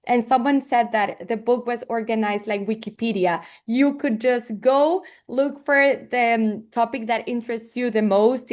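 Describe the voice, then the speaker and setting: A woman's voice: a little high, a little mellow. A woman from El Salvador, a guest author giving a direct, simple self-introduction with "eh" fillers.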